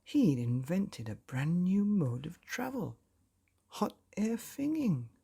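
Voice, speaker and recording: A man hosting and narrating a children's bedtime story in a dramatic storyteller's delivery with long pauses. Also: high voice, big pitch swings, creaky, mellow, breathy edge. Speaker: bedtime story podcast host and reader.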